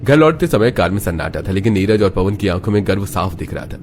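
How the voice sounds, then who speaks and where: Male narrator, low pitch, scripted storytelling, animated family drama. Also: fast talker, slight background noise.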